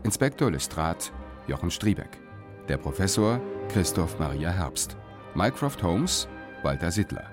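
Very deep male radio announcer over music. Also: big pitch swings, a little slow. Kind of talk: scripted announcement of title and credits.

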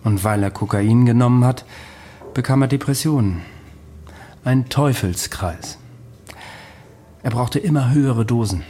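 A man's voice: mid-range voice, a little slow, long pauses, mellow, natural voice. An actor in a German radio drama playing an ageless, worldly-wise man who recounts his past in a dry, matter-of-fact way. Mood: dry, weary recollection.

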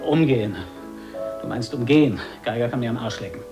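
An actor playing a defiant, bitter gag writer who argues emphatically in a radio drama. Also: male voice, mid-range voice, a little fast, music in the background, lightly acted. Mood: angry, sarcastic. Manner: emphatic, argumentative. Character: defiant, bitter male gag writer, agitated and self-justifying. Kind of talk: 1980s German radio drama acting.

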